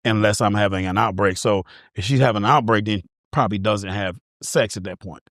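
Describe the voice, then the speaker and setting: A man's voice: lowish voice, brisk, a bit bright, dry recording, studio quality. A man of fifty-five telling a frank story in a casual podcast chat. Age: 50s. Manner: candid storytelling, laughing easily.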